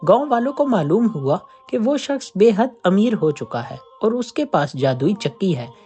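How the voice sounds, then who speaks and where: A storyteller reading a scripted moral folk tale in an expressive way. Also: mid-range voice, normal speed, soft background music.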